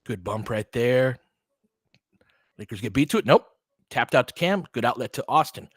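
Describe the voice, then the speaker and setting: A man's voice: medium pitch, animated, moderate pace, husky, no room sound, studio quality. A man doing live basketball play-by-play in short clipped phrases, with casual, partisan asides like a fan commentator.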